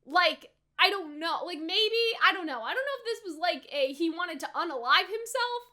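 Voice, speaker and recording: High-pitched, bright young woman. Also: lively intonation, moderate pace. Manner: informal, slangy, speculative, punctuated with rhetorical questions. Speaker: reaction video host. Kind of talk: casual anime reaction commentary.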